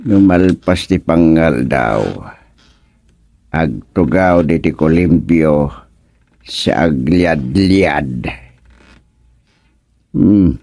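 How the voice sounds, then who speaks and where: An actor playing an easygoing but grumbling village man in a radio comedy drama, with an Ilocano accent and drawn-out exclamations. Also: extremely low pitch, darkish tone, dry recording, full character voice. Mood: grumbling, musing.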